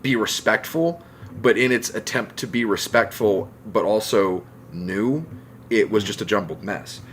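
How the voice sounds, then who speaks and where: A man's voice: fairly low pitch, unhurried, a bit bright, slight background noise. A man talking casually and emphatically on a discussion podcast, giving his opinions. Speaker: podcast co-host.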